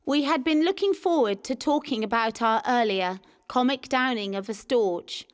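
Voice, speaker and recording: A woman's voice: fairly high pitch, normal speed, clean tone. A woman reading a scripted first-person wartime memoir as a narrator. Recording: music behind the voice. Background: music.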